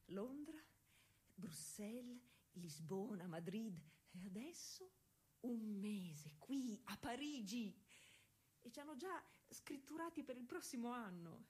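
Young lady bike racer from the old days, bit husky, proud n eager, chatty stage monologue. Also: fairly high pitch, expressive, slow, a little mellow, exaggerated voice. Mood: excited, chatty. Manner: explanatory, conversational, insistent with repeated checks. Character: proud, eager young woman, early 1900s racing cyclist and variety performer. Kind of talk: theatrical monologue addressed to an unseen listener.

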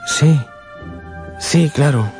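Earnest young storyteller, music behind. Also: male voice, fairly high pitch, drawn-out words. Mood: concerned. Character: earnest, sensitive young man narrating his own life. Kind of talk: radio drama acting and narration.

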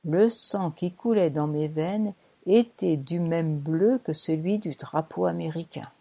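Lady reading a thriller novel out loud, kinda low and mellow, dry wry delivery. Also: measured pace, gravelly. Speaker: audiobook narrator. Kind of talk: scripted first-person novel reading.

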